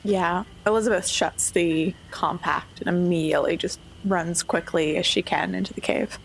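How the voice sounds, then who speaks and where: A woman's voice: fairly low pitch, fairly even intonation, moderate pace, gravelly, low-quality recording. A woman talking casually as a player in a tabletop roleplaying game. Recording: faint background ambient noise.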